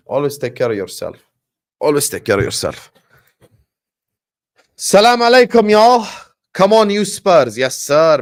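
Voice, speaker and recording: Man, arabic accent, jordanian livestream host, casual chat, animated shout-outs, mid-range voice. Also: mid-range voice.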